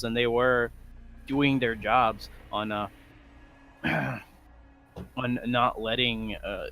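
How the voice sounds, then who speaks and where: A male esports caster with a Portuguese accent, commenting live on a match. His delivery is animated and he often restarts his words, and his voice sits at an ordinary middle pitch for a man. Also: a little hiss or hum.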